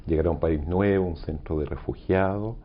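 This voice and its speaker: Middle-aged man, low voice, halting reflective recollection, repeated phrases, noisy recording. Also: highs cut off. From Chile, raised in France. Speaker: interview guest, doctor.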